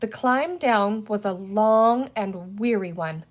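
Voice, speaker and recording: A woman's voice: medium pitch, takes it slowly. A woman reading a children's storybook aloud as narrator. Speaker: reader, teacher reading aloud.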